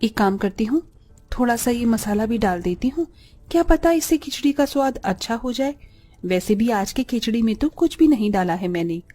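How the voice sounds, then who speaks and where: A woman playing a caring, soft-spoken young eldest daughter in a Hindi cartoon story, earnest and polite. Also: on the high side, lively intonation, moderate pace. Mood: thoughtful.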